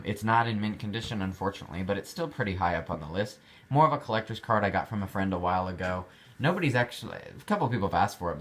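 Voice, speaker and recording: Young adult man, deep low voice, easygoing hobbyist vlog chat, rambling delivery. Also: a bit bright, a little hiss or hum. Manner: chatty, rambling, with many fillers and restarts. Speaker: card collector showing his cards.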